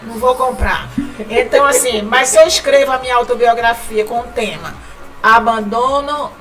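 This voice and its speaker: A woman's voice: fairly high pitch, normal speed, a bit bright, distant mic, faint music behind. A woman from Northeast Brazil with a northeastern Brazilian accent, a podcast guest chatting casually, laughing often and repeating words as she talks. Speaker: guest, writing company founder.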